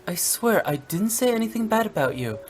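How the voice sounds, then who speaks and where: A woman voicing a friendly young opera singer who talks in a quick, chatty, curious way, in a video game. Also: mid-range voice, sing-song, soft background music, natural voice.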